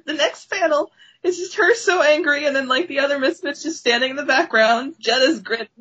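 A woman's voice: high-pitched, fairly quick, a bit bright, muffled recording. A woman co-hosting a casual comic book discussion, informal and appreciative, often acting out lines. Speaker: podcast host.

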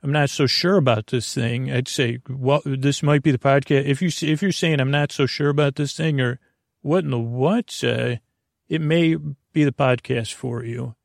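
A middle-aged man with a gravelly, creaky voice at an ordinary pitch, wandering from thought to thought in a slow, goofy bedtime ramble. He interrupts himself and drifts off topic. The sound is dry and close, with no room around it. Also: steady tone, no echo. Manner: meandering, self-interrupting, goofy asides. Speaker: sleep podcast host.

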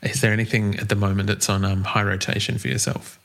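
Low-voiced friendly podcast host. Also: male voice, little pitch movement, fast talker, creaky, a bit bright. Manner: friendly, laughs often. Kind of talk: interview host.

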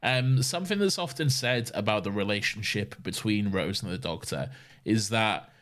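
Wry, low-voiced male podcast co-host. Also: expressive, moderate pace, fairly bright tone. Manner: wry, teasing, laughs often. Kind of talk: casual chat.

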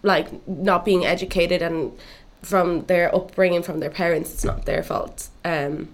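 A woman's voice: on the low side, a little slow, rough recording. A young woman musician from Cuba who grew up in Dublin, chatting casually in an interview with lots of "like" and "um". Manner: relaxed, chatty, full of "like" and "um". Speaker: musician guest.

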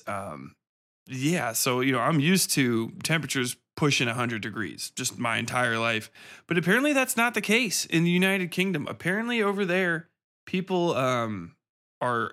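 Bright-voiced American man rambling as podcast host. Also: mid-range voice. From Cincinnati, American Midwest. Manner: irreverent, sweary, self-deprecating. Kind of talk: casual rambling solo chat.

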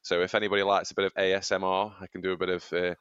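A man with a very deep voice, made husky by a cold, chatting on a podcast and laughing at his own jokes. The sound is dry and a little dull, close to the mic. His talk is loose and self-mocking. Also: level tone, racing, fairly bright tone, dry recording. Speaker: podcast co-host, aspiring runner. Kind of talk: casual chat. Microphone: studio microphone.

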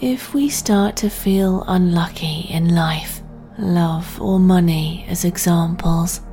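Very slow, soft-spoken woman guiding meditation. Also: on the low side. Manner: soft, breathy, soothing, deliberate. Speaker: sleep meditation host. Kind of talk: guided sleep meditation narration. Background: music.

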